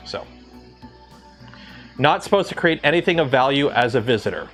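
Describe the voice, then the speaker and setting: A man's voice: medium pitch, expressive, normal speed, a bit bright, faint music behind. A man in his thirties from Southern Ontario with a light Southern Ontario Canadian accent, rambling casually with many fillers on a livestream. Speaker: 3D printing livestreamer.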